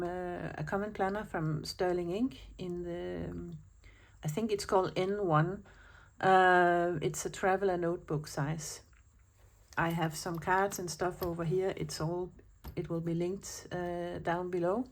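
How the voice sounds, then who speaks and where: Slow-talking Danish woman, low voice. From Denmark. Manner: chatty, rambling with many fillers and restarts. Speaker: planner vlogger. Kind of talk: casual chat to camera. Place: at home.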